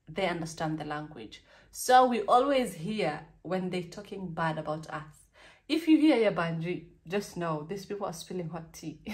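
Young Kenyan woman, candid storytime vlogger, animated and chatty, in her bedroom. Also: medium pitch. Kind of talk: casual storytime vlog. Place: small bedroom, indoors.

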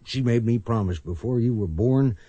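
An actor playing a gentle, plain-spoken widowed farmer father in a radio western, a man with a folksy, hesitant way of talking. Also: medium pitch, moderate pace, husky, no room sound, lo-fi sound, lightly acted. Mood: fond, regretful. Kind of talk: radio western drama acting.

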